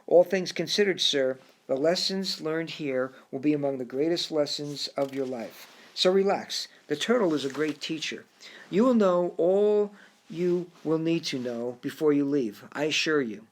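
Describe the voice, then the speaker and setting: A man voicing a calm, courteous male frog with an English accent, who speaks formally and keeps saying sir in an audiobook story. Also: a little high, measured pace. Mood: calm, instructive.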